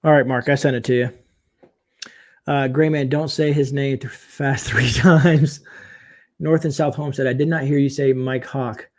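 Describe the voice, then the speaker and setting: Chatty male livestream host, slightly hoarse. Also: mid-range voice, fairly even intonation, a little slow. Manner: chatty, reads viewer comments aloud, frequent fillers and laughs. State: voice getting hoarse. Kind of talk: casual late-night livestream chat, reading chat aloud.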